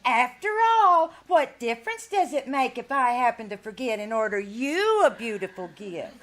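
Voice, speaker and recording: A woman's voice: fairly high pitch, sing-song, moderate pace, fairly bright tone. A woman playing a fussy, guilt-tripping older landlady in a live radio comedy, sweetly martyred and wheedling. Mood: feigned innocence. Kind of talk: live old-time radio comedy acting.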